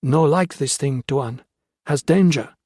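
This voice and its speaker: Male reader putting on an affectionate young woman, soft simple broken phrases. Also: medium pitch, slow pace, dry recording, studio quality, voice pushed up. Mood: tender.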